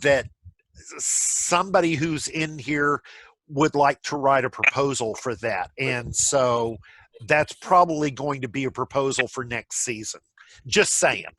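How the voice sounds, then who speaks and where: A man's voice: medium pitch, unhurried, sharp tone, no room sound, rough recording. A man casually leading a group discussion on a video call.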